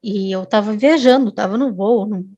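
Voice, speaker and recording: A woman's voice: mid-range voice, normal speed, dark tone, lo-fi sound. A woman, a guest telling a long personal story in casual chat, often restarting and adding tag questions. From Brazil.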